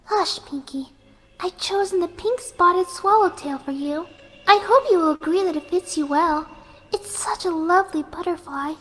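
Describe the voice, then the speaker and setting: A woman voicing a gentle, soft-spoken young female pegasus pony who is serenely deranged, in a horror fan fiction reading. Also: very high-pitched, voice pushed up, poor audio. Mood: tender, sinister. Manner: gentle, sweet, unhurried while menacing. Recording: music behind the voice.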